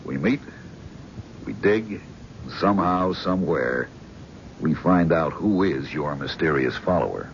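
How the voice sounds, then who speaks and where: An actor playing a calm, probing male psychiatrist who speaks in a measured, frank way. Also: extremely low pitch, a little hiss or hum, full character voice. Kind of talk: 1970s radio drama acting. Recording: dull vintage radio broadcast.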